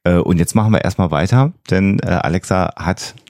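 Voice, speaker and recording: Low, mellow man hosting a podcast. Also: a little fast, no echo, studio quality. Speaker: podcast host; psychologist. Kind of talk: casual scripted-intro podcast talk.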